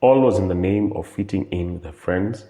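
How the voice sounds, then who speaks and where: A man's voice: low-pitched, normal speed, dark tone. A man narrating a scripted radio drama as its storyteller. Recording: echoey, roomy sound.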